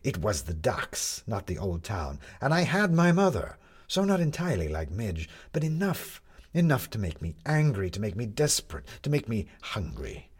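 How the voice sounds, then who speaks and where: A man's voice: fairly low pitch, huge pitch swings, moderate pace, breathy edge, heavily acted. A man voicing a bitter, proud, talkative male con artist in an audiobook, with emphatic, rhetorical delivery. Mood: confessional, impassioned.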